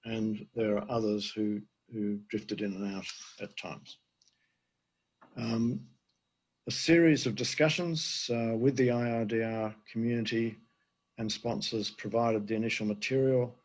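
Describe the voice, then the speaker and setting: An older man, an emeritus professor, giving a conference presentation with a hoarse voice he is losing, halting with many fillers. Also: fairly low pitch, steady tone, poor audio. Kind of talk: conference presentation over video.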